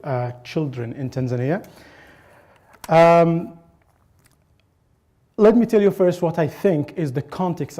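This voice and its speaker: A man gives an academic talk at a conference. His voice is mellow and dark, sitting at an ordinary pitch for a man, and his delivery is halting, with frequent pauses and restarts. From Egypt. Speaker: academic presenter. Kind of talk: conference presentation.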